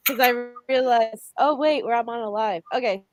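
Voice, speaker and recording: A woman's voice: fairly high pitch, normal speed, a crowd in the background. A woman hosting a casual livestream discussion, congested from a cold, chatty and full of fillers and self-corrections. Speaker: livestream host.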